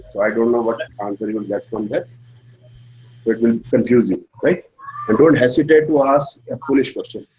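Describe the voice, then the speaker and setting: A man's voice: medium pitch, mellow, poor audio. Indian man teaching a class. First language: Hindi. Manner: explains slowly in simple English, checks with "right?". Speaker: astrology teacher. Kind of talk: classroom lecture.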